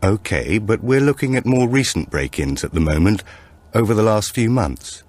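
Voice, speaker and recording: Policewoman on enquiries read by a male narrator: pitch lifted, brisk, polite, questioning, audiobook crime drama. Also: expressive, darkish tone. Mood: businesslike, polite. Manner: businesslike, questioning.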